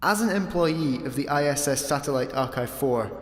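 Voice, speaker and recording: A man's voice: mid-range voice, animated, normal speed, lightly acted. Guy doing droll ship computer, dry literal delivery, sci-fi radio comedy, very echoey. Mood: deadpan menace. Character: droll, pedantic, sarcastic male shipboard computer in an escape pod. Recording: echoey, long reverb on voice.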